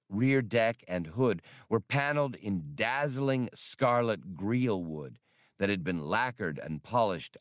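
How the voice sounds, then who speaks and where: A man's voice: lowish voice, no room sound, studio quality. A man reading adventure fiction as a scripted, dramatic audiobook narration. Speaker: audiobook reader.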